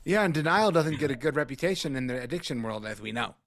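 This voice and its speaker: A man's voice: mid-range voice, quick pace, fairly bright tone, no room sound, clean studio sound. A man who teaches meditation, answering questions in a conversational way, often repeating words and saying "like.". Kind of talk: casual teaching and Q&A.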